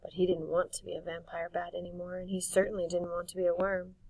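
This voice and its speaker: A woman reading a storybook aloud as an audiobook narrator, in an expressive storytelling style. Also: on the low side, quick pace, no room sound, far from the mic, rough recording. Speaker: audiobook reader.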